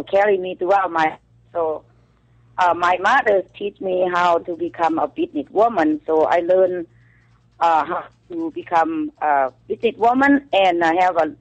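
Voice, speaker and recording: A woman from Thailand with a Thai accent, calling in as a guest to a radio show and speaking halting English full of fillers. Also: fairly low pitch, lo-fi sound. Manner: halting non-native English with frequent fillers. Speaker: call-in guest, author. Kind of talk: phone-in radio interview. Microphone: telephone.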